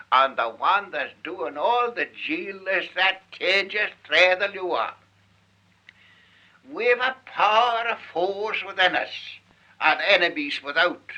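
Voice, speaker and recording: A man's voice: high voice, sharp tone, lo-fi sound. A man with a Manx accent giving a theatrical, emphatic recitation of dialect poetry on a 1940s archive recording. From Isle of Man. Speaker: Manx language student reciting dialect verse. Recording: 1948 archive field recording.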